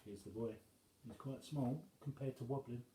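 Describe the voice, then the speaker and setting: A man reading a rhyming children's story aloud as a storyteller, in measured phrases with dramatic pauses. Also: mid-range voice, mellow, roomy sound, slight background noise, poor audio.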